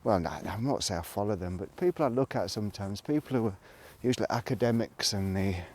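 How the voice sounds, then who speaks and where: A man talking casually to a vlog audience in a reflective, rambling monologue, musing haltingly and trailing off between thoughts. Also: on the low side, a little mellow. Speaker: vlogger. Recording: noticeable background noise, clicks.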